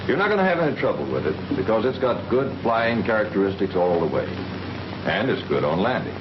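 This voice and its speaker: An actor playing an easygoing, plain-spoken Army captain who teaches new fighter pilots, in a 1940s training film. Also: male voice, on the low side, roomy sound. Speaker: flight instructor. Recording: muffled old film soundtrack with hiss.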